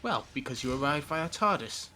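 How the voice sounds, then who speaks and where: Guy doing a smug sci-fi facility boss villain, forceful bright voice, polite but cold, audio drama. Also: fairly high pitch, lively intonation, normal speed, slight background noise. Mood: cold condescension. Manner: courteous, condescending, unrepentant. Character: smooth, coldly polite male villainous facility administrator.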